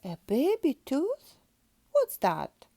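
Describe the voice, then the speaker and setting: An excitable little girl pig from a storybook, played by a woman reader. The voice is high and swoopy, eager and childlike. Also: slow, full character voice. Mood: worried, curious.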